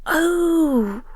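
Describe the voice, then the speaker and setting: A woman playing a flirty, awkward vampire co-worker in an intimate audio roleplay, soft and breathy, with a hesitant, polite, coy manner. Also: very high-pitched, no room sound, clean studio sound, exaggerated voice. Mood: flustered, apologetic.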